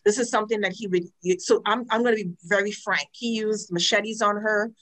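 A woman in her forties from Trinidad, a guest telling her personal story frankly and earnestly, with lively quoted asides. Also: medium pitch, brisk. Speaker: guest, domestic violence advocate. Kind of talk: personal testimony in a podcast interview.

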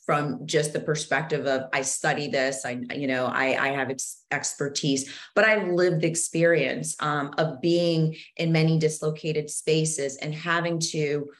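A woman's voice: low voice, fairly even intonation, measured pace, hardly any pauses. A woman from Zambia, a podcast guest talking with passion and many restarts, verbose and earnest. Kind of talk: conversational interview.

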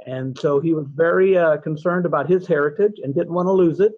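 A man's voice: a little high, fairly quick, narrow, tinny audio. A man in his sixties, a podcast guest, chatting casually and telling family stories with lots of restarts. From California, USA. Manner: chatty, self-deprecating, many restarts. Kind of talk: casual interview chat.

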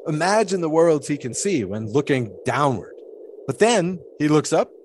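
A man's voice: a little high, big pitch swings, moderate pace, darkish tone, slight background noise. An actor playing a grandiloquent, philosophical 1920s showman in an audio drama, musing in wordy, theatrical speeches. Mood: lofty, explaining.

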